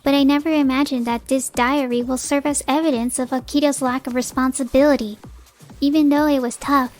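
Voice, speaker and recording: A woman's voice: high-pitched, fairly even intonation, a little fast, darkish tone, lightly acted. A resolute young mother played by a woman narrates her story in earnest, dramatic manga dub style. She sounds like a wronged wife holding firm, with faint music underneath. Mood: relieved, reflective. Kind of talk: scripted first-person narration, manga dub.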